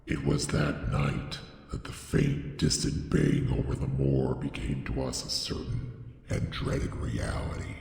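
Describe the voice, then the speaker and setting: An extremely low-voiced man narrates a horror tale in heavy, crackling fry. His delivery is solemn, deliberate and theatrical, and every phrase trails off into a long, echoing reverb. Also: flat delivery, darkish tone. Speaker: narrator reading a horror story. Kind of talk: scripted dramatic horror audiobook narration.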